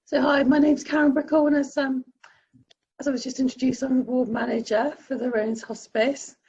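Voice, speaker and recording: Nervous female hospice ward manager, husky. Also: high-pitched, level tone, fairly quick, a little mellow, no echo, highs cut off. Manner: nervous but clear. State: has a cold. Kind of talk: prepared presentation. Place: online workshop.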